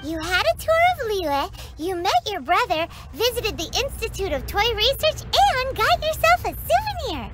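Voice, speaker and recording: A woman's voice: sing-song, normal speed, hardly any pauses, very noisy. A woman voicing a tiny floating fairy companion who acts as a peppy, bossy tour guide and talks about herself in the third person. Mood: chirpy. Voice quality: high, squeaky. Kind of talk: video game cutscene voice acting. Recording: game cutscene with loud score.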